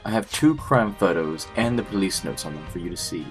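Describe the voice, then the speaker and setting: A man voicing a composed, formal prosecutor in a game fandub, speaking in a measured, matter-of-fact way. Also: deep voice, animated, soft background music. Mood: composed, businesslike. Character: composed, formal male prosecutor briefing on a case. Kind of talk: scripted game fandub acting.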